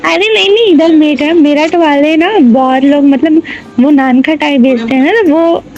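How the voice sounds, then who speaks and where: A man posing as a playful young woman who likes to tease. The voice is very mellow and high, processed by a voice changer. It comes over dull, lo-fi game chat. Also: moderate pace, faint music behind. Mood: playful, teasing. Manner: teasing, playful. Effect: female voice changer.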